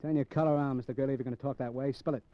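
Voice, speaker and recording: An actor playing a brooding small-time American gangster in a mid-century radio crime drama, with slangy, halting speech. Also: male voice, medium pitch, steady tone, natural voice. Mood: resigned, sardonic. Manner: slangy, halting tough-guy talk with repeated words. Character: brooding small-time male gangster narrating from death row. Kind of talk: 1940s-50s American radio crime acting. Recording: muffled old radio recording with music.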